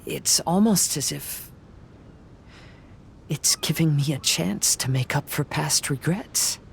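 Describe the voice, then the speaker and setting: An actor playing a young wandering samurai, gentle and reflective, speaking softly in measured game dialogue. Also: male voice, a little high, vocal fry, a little hiss or hum. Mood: wistful, solemn. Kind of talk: dramatic game dialogue and narration.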